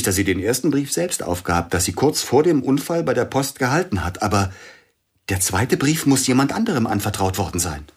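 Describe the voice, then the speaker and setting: An actor playing a grieving older pianist in a radio play, brooding and suspicious, often sinking to a hushed near-whisper. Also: male voice, mid-range voice, slightly rough.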